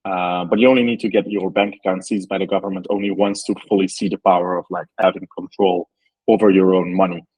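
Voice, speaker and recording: A man's voice: deep voice, flat delivery, fast, a little mellow, breathy edge, no room sound, low-quality recording. A man from Belgium, a startup founder, answering interview questions thoughtfully with many ums and likes.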